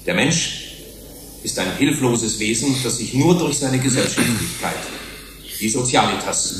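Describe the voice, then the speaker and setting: An actor playing an earnest human rights commissioner pleading before a tribunal in a deliberate, rhetorical, formal way, in a German radio drama. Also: male voice, mid-range voice, animated, natural voice. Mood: earnest, measured. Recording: roomy hall echo, faint hiss.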